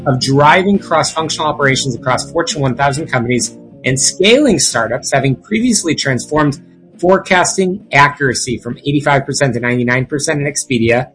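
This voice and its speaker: A man's voice: medium pitch, brisk, a bit bright, faint music behind, low-quality recording. A man hosting a business talk show, introducing a guest and asking questions in an upbeat, polished manner. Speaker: show host. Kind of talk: interview hosting.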